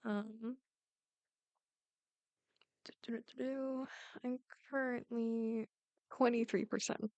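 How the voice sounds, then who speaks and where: A woman's voice: a little high, steady tone, slow pace, long pauses, a little mellow, no echo, muffled recording. A woman content creator chatting casually to her viewers in a giggly, rambling way. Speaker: ASMR roleplay creator.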